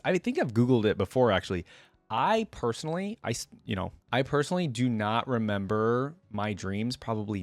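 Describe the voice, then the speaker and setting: A man's voice: lowish voice, no room sound. A young man hosting a reaction video, reading story text aloud and commenting in an informal, musing way.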